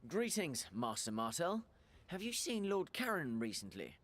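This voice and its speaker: A man's voice: a little high, big pitch swings, moderate pace, fairly bright tone. A man playing a stern, cautious fantasy adventurer in a video game, speaking formally and curtly. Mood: formal, questioning. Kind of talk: dramatic video game dialogue.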